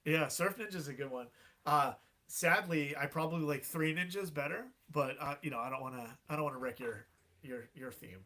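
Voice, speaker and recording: Bright-voiced laid-back man. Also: fairly high pitch, normal speed. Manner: relaxed, laughs often, lots of fillers. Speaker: podcast guest. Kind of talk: casual chat.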